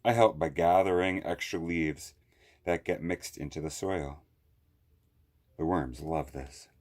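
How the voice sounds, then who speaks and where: A man reading a children's picture book aloud as a library storyteller, with deliberate phrasing and long pauses. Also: low voice, darkish tone.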